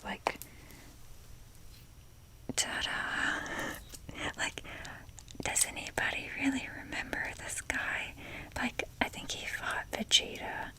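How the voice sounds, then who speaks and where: Whispering woman, slow rambling ASMR. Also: sharp tone, poor audio. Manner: rambling, self-deprecating, casually sweary. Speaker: ASMR video creator.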